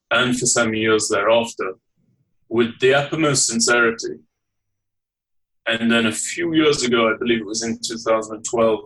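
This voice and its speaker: Measured man with a Leeds accent. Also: on the low side, fairly bright tone, far from the mic, poor audio. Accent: Northern English, Leeds. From England. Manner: measured, formal, articulate. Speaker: guest. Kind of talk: podcast interview.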